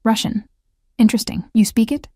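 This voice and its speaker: A woman voicing a calm, quietly probing male mafia boss in a narrated story, her delivery dry and low-key. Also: a little fast, slightly rough, dry recording. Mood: cool.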